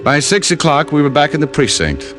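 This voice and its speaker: A man's voice: a little high, sing-song, brisk, music in the background. A man acting a laconic, world-weary American private detective in a 1950s radio drama. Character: laconic hardboiled male private detective narrating his case.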